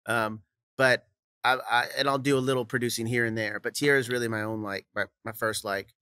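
A young man with a bright, crisp voice talks through a close studio mic on a boom arm, dry and close with no room sound. He is easygoing and loose, laughs often, and his sentences trail off into "you know". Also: steady tone, fairly quick, no room sound. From Kansas. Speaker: songwriter and producer guest. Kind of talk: casual interview chat. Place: studio set with brick wall and couch.

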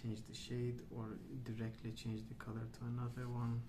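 A man speaking softly and hushed in an unhurried, halting ASMR ramble, often trailing off. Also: mid-range voice, completely flat delivery. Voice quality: soft, hushed. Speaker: ASMR video host. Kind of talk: soft-spoken ASMR rambling. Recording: clear background noise.

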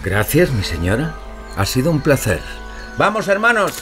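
An actor playing a noble, defiant and gallant outlaw leader in a Spanish audio drama, commanding and courtly. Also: male voice, a little high, huge pitch swings, normal speed. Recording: dramatic music behind the voice.

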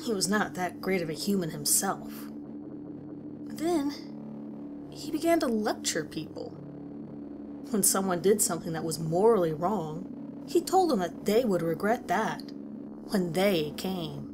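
Concerned teacher played by a woman, horror story narration, lively varied intonation, noticeable ambient noise behind. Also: lowish voice, measured pace, pauses often. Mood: uneasy, reflective. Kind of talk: dramatic scripted narration. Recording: clearly audible background ambience noise.

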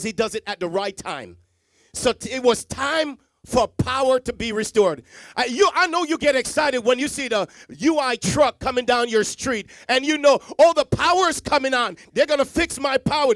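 High-voiced man preaching a dramatic sermon. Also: dry recording. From Jamaica. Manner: dramatic swings from hushed to loud, repetitive emphasis. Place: church stage with Christmas decor, indoors. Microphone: handheld microphone.

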